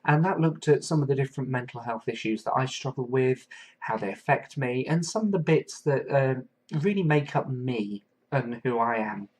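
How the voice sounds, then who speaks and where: A man from England hosts a solo podcast. He talks in an earnest and reflective way at a middle pitch, and he often pauses with soft fillers as he works through his thoughts. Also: lively intonation, low-quality recording. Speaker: podcast host.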